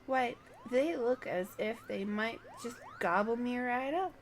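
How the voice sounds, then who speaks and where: A woman's voice: on the high side, animated, measured pace. A woman voicing a vain, haughty little fluffy moogle in a video game, theatrical and self-admiring. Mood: smug.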